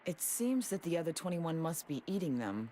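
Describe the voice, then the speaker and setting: An actress playing a dry, deadpan, unimpressed female fighter in an English anime game dub. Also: deep voice, normal speed, a little hiss or hum. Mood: matter-of-fact.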